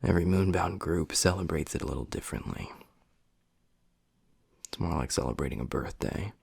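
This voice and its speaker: A man voicing a gruff but tender young werewolf boyfriend in an intimate audio roleplay, husky and breathy, speaking low and haltingly. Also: darkish tone, dry recording, exaggerated voice. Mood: reassuring. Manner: low, halting, half-whispered delivery.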